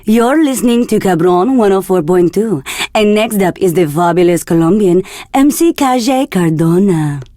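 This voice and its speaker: A woman's voice: medium pitch, expressive, moderate pace, few pauses, warm tone, no echo, clean studio sound, close to an everyday voice. An actress playing a flirty, confident woman radio DJ in a video game, with a seductive, teasing delivery. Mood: flirty, upbeat. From Argentina. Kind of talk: scripted game radio DJ patter.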